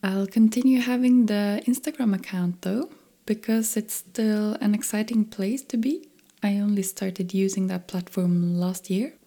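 Female podcast host; unhurried, dark-toned, soft and measured delivery. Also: mid-range voice, steady tone. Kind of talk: scripted podcast intro and interview. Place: home in Stockholm.

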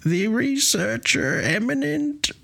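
A high-voiced, eccentric herald from another dimension in a comedy skit. The speech is slow, theatrical and portentous, swinging widely in pitch. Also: male voice, big pitch swings, gravelly, pushed and bright, husky, exaggerated voice. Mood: ominous proclaiming.

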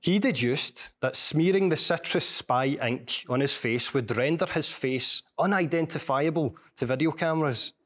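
A man's voice: fairly high pitch, fairly bright tone. A man with a strong Glasgow accent gives a university seminar, telling stories and reading a passage from his book. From Scotland. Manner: storytelling, measured, with small restarts. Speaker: lecturer and author.

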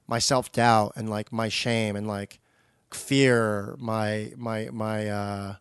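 A man's voice: fairly low pitch, flat delivery, slow pace, clear, resonant tone, dry recording. Informal, slangy man chatting, dry sound. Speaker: podcast co-host. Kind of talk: casual chat about an animated show.

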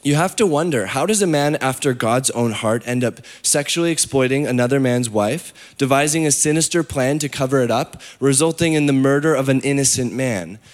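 A hoarse man preaching at a middle pitch, his voice worn thin from talking all day. He speaks candidly and pokes fun at himself, stumbling through fillers and restarts as he builds his sermon. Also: brisk, few pauses. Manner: candid, self-deprecating, many fillers and restarts. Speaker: pastor.

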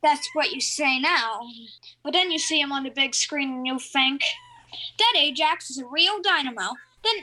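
Pompous, shrill-pitched diva star. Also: female voice, moderate pace, sharp tone, poor audio. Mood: doting, dramatic. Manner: pompous, melodramatic. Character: haughty, theatrical fading woman star doting on her cats. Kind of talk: audio drama acting.

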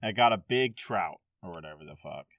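A man's voice: sits low, expressive, brisk, creaky, fairly bright tone, no echo, studio quality. A man in casual game chat, blunt and sweary, often asking questions. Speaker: podcast speaker.

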